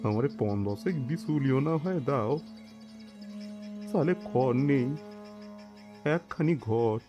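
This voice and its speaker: Slow, plaintive Bengali peasant. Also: male voice, medium pitch, animated, highs cut off, music in the background. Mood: anguished pleading. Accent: rural Bengali. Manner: plaintive, humble, halting. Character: poor, feverish peasant father, meek and pleading. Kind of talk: audio story drama acting.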